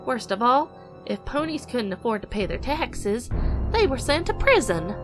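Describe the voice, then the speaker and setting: A woman's voice: a little high, very animated, normal speed, over music. A woman voicing a tender, folksy mother pony, telling bedtime stories in a motherly way. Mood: storytelling. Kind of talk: acted bedtime storytelling.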